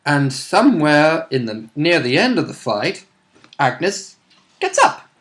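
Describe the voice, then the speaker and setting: A man's voice: on the high side, very animated, takes it slowly, a bit bright. A man chatting as a tabletop player, informal and rambling, with the odd casual swear word. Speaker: player in a tabletop role-playing game. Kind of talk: casual chat about game rules and play.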